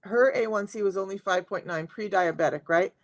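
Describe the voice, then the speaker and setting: A middle-aged woman with a raspy voice, chatting casually to viewers on a live stream as a health coach. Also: mid-range voice, brisk. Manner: chatty, talks straight to viewers.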